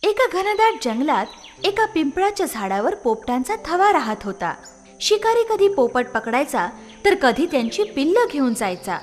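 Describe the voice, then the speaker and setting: High, animated woman storyteller. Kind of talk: scripted children's story narration.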